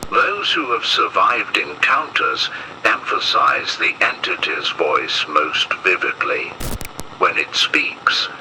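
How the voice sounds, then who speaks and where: A man performs a solemn emergency announcer in an analog horror broadcast. His voice is very deep and slow, with an ominous weight to the measured delivery. It comes through with clear noise, like a lo-fi radio warning.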